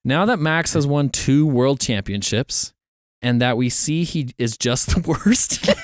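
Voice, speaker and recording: A man's voice: fairly high pitch, no echo, studio quality. A man co-hosting a casual podcast, reading out listener questions and laughing often. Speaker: podcast co-host. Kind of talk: casual chat.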